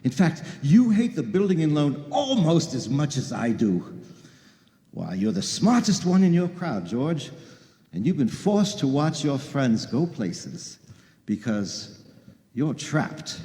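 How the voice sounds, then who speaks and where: A man's voice: on the high side, big pitch swings, takes it slowly, warm tone, heavily acted. An actor playing a domineering, scheming rich old man in a live radio play, with an oily, condescending manner. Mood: condescending, sly. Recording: echoey hall, live stage.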